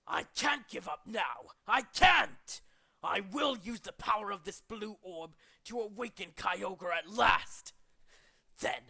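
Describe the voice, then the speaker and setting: High-pitched, impassioned zealot villain. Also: male voice, creaky, pushed and bright, slightly rough, exaggerated voice. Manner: grandiose, impassioned, declamatory. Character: anguished zealous villain set on remaking the world. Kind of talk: theatrical video game villain monologue.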